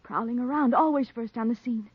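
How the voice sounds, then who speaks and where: An American actress playing a reporter's anxious, shrewd sweetheart in a 1940s radio noir drama. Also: female voice, a little high, a little fast, breathy edge, no echo. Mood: urgent. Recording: dry, muffled 1940s radio recording.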